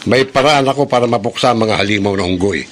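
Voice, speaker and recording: A man's voice: on the low side, fast talker, slight background noise, close to an everyday voice. An actor playing a stubborn older man in a Filipino radio horror drama, firm and declamatory in his delivery. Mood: defiant, firm.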